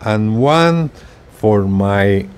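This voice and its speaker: An older man giving a casual, hands-on product demonstration with halting, unpolished delivery, recorded outdoors on a porch. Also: fairly low pitch, animated. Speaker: video creator demonstrating radio gear. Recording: outdoor ambient noise.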